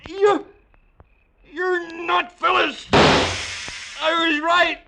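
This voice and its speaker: An actor playing a terrified space captain, screaming in panic in mid-century radio drama style. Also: male voice, very high-pitched, steady tone, extremely slow, gravelly, voice pushed up, exaggerated voice. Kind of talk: 1950s radio drama acting. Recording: very noisy old radio recording.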